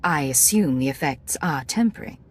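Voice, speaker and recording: An actress playing a guarded, no-nonsense secret agent in a video game, speaking directly and briefly. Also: female voice, sits low, lively intonation, brisk. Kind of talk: acted video game dialogue.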